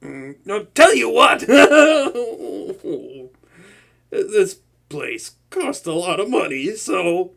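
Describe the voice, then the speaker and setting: A young man voicing a boastful but soft-hearted male supervillain in a comedic audio roleplay, theatrical and stammering when flustered. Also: sits high, big pitch swings, drawn-out words, gravelly, heavily acted.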